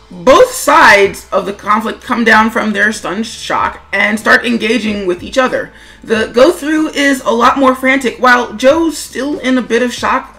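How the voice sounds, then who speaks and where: A woman's voice: mid-range voice, bright, forceful tone. A woman giving semi-scripted creator commentary in a chatty way, with wry asides and questions put to the listener. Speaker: webcomic creator and narrator.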